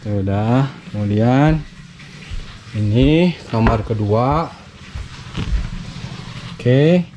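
A man's voice: mid-range voice, very slow, pauses often. A man talking casually while walking through a villa with a handheld camera, chatty and loose with fillers. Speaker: vlogger touring a villa. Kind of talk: casual walkthrough commentary. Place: indoors and outdoors at a mountain lakeside villa. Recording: noisy camera audio.

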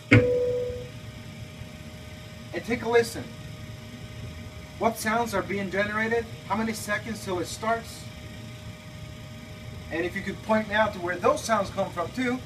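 A man's voice: high-pitched, recorded from a distance, background noise. A man in his thirties from Norway, chatting casually in his home-built cockpit simulator, full of fillers and restarts while his son films. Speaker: flight simulator builder. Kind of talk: casual video request. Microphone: camera held by his son a few feet away.

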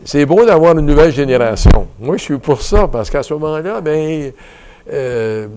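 A man's voice: on the high side, lively intonation, normal speed, mellow, slight background noise. Man, 70s, Canadian French accent, retiring bishop, rambling and reflective, many fillers, lapel mic, small studio. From Ottawa, Canada. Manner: reflective and rambling, many fillers and restarts, gestures while talking. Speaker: retiring bishop being interviewed. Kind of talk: relaxed conversational interview. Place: small bright indoor studio at a table.

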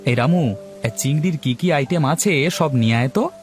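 A man voicing a jolly, food-loving middle-aged customer in a cartoon who exclaims loudly and savours every bite. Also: a little high, moderate pace, faint music behind, natural voice. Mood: delighted.

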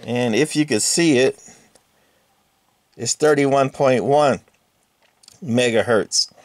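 A man's voice: medium pitch, slow, no echo. A man giving a casual hands-on walkthrough of a radio's insides at a workbench, explaining in an easygoing way with many fillers and now and then talking to the parts. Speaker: radio repair hobbyist host. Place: workbench, indoors.

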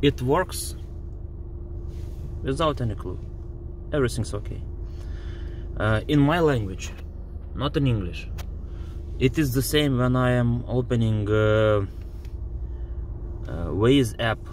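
A man with a Lithuanian accent, a native Lithuanian speaker, complains in a car about voice input while showing his phone and dashboard screen. He speaks in halting English with many fillers and sounds frustrated. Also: medium pitch, a little mellow, a little hiss or hum. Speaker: driver demonstrating a car app. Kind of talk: casual complaint video. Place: inside a parked car.

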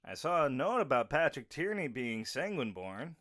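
A man's voice: mid-range voice, sing-song, moderate pace, a bit bright, dry recording, clean studio sound. A man playing an easygoing, wry young werewolf in an audio drama, with a casual, conversational delivery. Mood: wry, informative. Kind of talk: audio roleplay drama acting.

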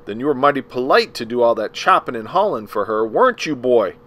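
A man's voice: mid-range voice, big pitch swings, brisk, a bit bright. A man voicing a cold, scornful prosecutor who presses a witness with contempt. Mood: sneering.

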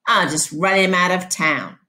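A woman voicing a drunk, leering small-town sheriff who talks in a slow drawl. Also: on the low side, pushed and bright.

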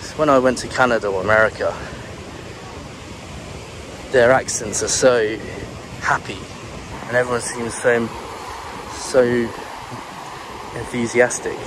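A British man from England giving monotone, relaxed commentary while walking a beach outdoors, filming on a handheld camera. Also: mid-range voice, measured pace, pauses often. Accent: British English. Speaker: travel vlogger. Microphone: handheld camera carried while walking. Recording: clear wind and surf noise. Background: ocean waves and breeze.

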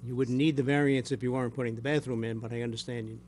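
An older man speaking as a board chair, courteous and measured as he puts questions and handles formal procedure at a public meeting. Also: medium pitch, steady tone, darkish tone. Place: municipal meeting room. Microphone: gooseneck desk microphone. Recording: faint background hum.

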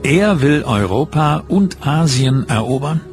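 A man's voice: on the high side, lively intonation, measured pace. A man playing a proud young prince in a documentary reenactment, speaking with mocking contempt, over background music. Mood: scornful.

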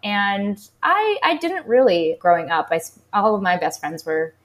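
A woman in her thirties from the Boston area talks easily as an interview guest, her voice at a middling pitch. She is upbeat and chatty and fills her sentences with little fillers. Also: expressive, normal speed, gravelly, a bit bright. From United States, Boston area. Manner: upbeat, chatty, many fillers like "you know" and "like". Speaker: guest, former Olympic gymnast. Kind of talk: casual interview talk.

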